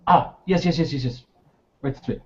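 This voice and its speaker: A man's voice: mid-range voice, moderate pace, husky, dull audio, lightly acted. A man voicing a nervous, eager-to-please restaurant keeper in a tabletop game, clipped and fussily polite.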